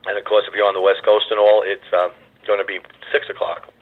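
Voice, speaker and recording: A hoarse man hosting a conference call, rambling and reassuring, with frequent filler uhs. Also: on the low side, flat delivery, quick pace. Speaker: call host. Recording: tinny phone line.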